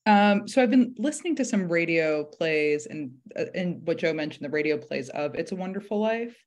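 A woman's voice: lowish voice, animated, moderate pace, darkish tone. A young woman speaks as a guest on a video-call panel. Her delivery is casual and conversational as she describes her work. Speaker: theatre director, panel guest. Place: indoor room on a video call.

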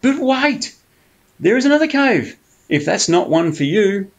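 A man voicing a sneaky, cunning male fox who coaxes and flatters in a smooth sales patter. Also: sits high, unhurried, pitched above the performer's own voice, full character voice. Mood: coaxing.

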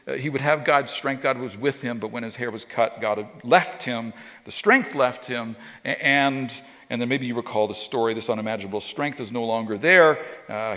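A man's voice: medium pitch, bright, forceful tone. A man in his fifties preaching a sermon as pastor, talking in a loose conversational way with frequent restarts and repeated words. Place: church stage with a drum kit behind, indoors. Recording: long reverb of a church hall.

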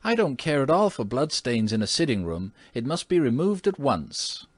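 A man voicing a fussy, indignant lady of the house who exclaims in a shrill tone. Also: very animated, moderate pace, dry recording.

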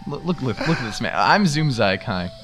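A man's voice: medium pitch, sing-song, a little fast, fairly bright tone, soft background music. A man casually bantering during a game race, goofy and playful, often repeating his words. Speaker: gaming video co-host.

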